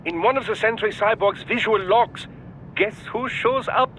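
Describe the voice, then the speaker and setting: A woman's voice: mid-range voice, moderate pace, a bit bright, slight background noise, close to an everyday voice, poor audio. A woman playing an attentive, helpful mission support operator in a video game cutscene.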